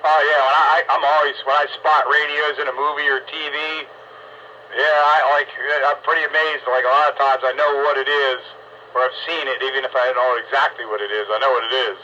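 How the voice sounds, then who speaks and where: A man's voice: medium pitch, steady tone, a little fast, sharp tone. A man in a casual chat with fellow hobbyists over a two-way radio. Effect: two-way radio transmission. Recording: muffled two-way radio with faint noise.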